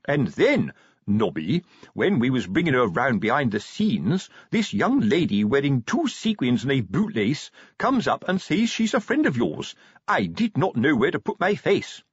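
A pompous older police sergeant, voiced by a male narrator, fatherly and prudish, with big swoops of pitch. He talks in hesitant, colloquial, self-important phrases, as in a comic storybook. The sound is slightly muffled and husky-free, with very little room. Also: very animated, measured pace, no room sound. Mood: earnest, embarrassed. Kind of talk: audiobook character dialogue.

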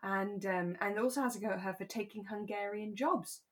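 A woman co-hosting a podcast, talking through a radio drama in a relaxed, conversational way. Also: mid-range voice, fairly quick. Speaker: podcast co-host.

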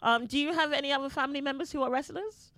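A woman's voice: sits high, fast talker, fairly bright tone, no room sound. Playful woman co-hosting a podcast. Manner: curious, playful questioning. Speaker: podcast co-host. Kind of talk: casual comedy podcast chat.

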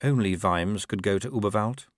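A man voicing a wry, amused older ruler in an audiobook, speaking in a measured, absent-minded way. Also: fairly low pitch, dry recording, clean studio sound, lightly acted. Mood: dry amusement. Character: dry, unhurried, amused older male ruler. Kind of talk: audiobook character voice.

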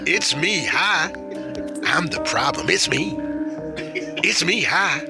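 A man delivers a comic dramatic reading in a creaky voice. He goes slowly, at a fairly high pitch. Loud music runs underneath. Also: lively intonation.

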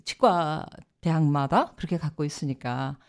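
Fast-talking low-voiced woman radio host. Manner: restates each answer in a second language, prompting. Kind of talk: bilingual radio interview. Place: radio studio.

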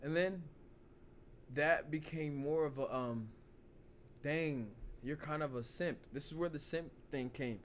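A man hosting his own vlog, hoarse from losing his voice, rambling loosely in casual talk full of "you know" and "like". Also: on the high side, unhurried, slight background noise. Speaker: vlog host. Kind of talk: casual opinion talk to camera.

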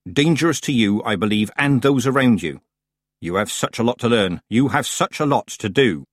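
A man voicing a stern, commanding old female country witch who speaks calmly and deliberately in a storybook reading. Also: mid-range voice, no echo, studio quality, lightly acted. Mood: brisk, stern. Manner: calm, deliberate, rustic grammar.

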